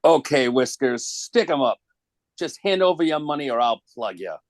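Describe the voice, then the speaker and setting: A cheeky comic-book kid acted out by an adult, slangy and wide-eyed. The pitch leaps around with childlike eagerness, and the sound is close and dry. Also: very animated, moderate pace, no echo. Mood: robotic menace. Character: cheeky, excitable young boy, hypnotized into robbery. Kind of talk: dramatic comic-book table read.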